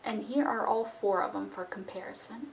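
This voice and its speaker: A hoarse woman with a cold talks through a product review in a loose, chatty way, full of ums and small restarts. Her voice sits at a middle pitch and comes from a little distance away. The room adds a noticeable echo with a faint hiss underneath. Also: moderate pace. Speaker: beauty vlogger.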